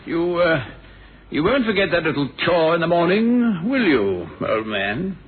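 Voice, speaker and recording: An actor playing a smooth, domineering, needling father and boss in a radio mystery drama, with a suave, pointed delivery. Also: fairly high pitch, very animated, a little slow. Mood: smooth, menacing. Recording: thin, phone-like old radio recording.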